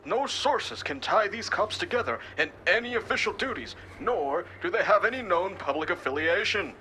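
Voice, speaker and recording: Man playing an excitable, high-pitched breaking-news reporter. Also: moderate pace, slight background noise, low-quality recording. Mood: sensational, sardonic. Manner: theatrical, punchy, sardonic. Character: excitable, sensationalist male breaking-news street reporter in a cyberpunk city. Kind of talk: dramatic live news broadcast acting.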